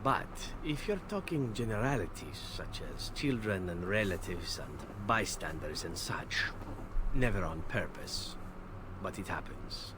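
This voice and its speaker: An actor playing a callous, suave male elven assassin in a video game, talking smoothly and philosophically about his trade. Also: sits low, lively intonation, measured pace, background noise. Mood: matter-of-fact, philosophical. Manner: smooth, philosophical, unapologetic, articulate. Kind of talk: video game character dialogue.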